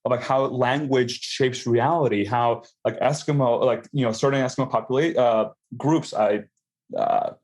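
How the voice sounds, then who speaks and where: A man's voice: mid-range voice, moderate pace, darkish tone. A young man from Mexico, a podcast guest talking reflectively in a casual conversation. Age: young adult. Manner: reflective, many restarts and fillers. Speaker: guest, food company founder.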